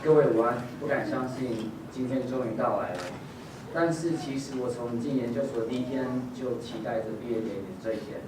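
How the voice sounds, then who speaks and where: A man's voice: medium pitch, a little fast. Brief: male graduate speaker, plain mid voice, sincere, distant in a reverberant hall with background noise. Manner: sincere, with filler ums. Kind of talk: bilingual ceremony speech. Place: large hall. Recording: echoey hall, far from the mic, audible noise.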